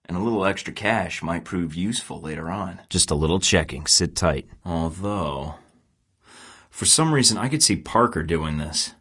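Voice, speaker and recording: A cocky young secret agent for a video game, speaking in a very low register. His lines come out clipped and deadpan, and he slips in sardonic jabs between mission calls. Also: moderate pace, husky, exaggerated voice. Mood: wry, clipped. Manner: terse, dry and sardonic. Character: wry, cocky young male spy agent. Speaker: game protagonist.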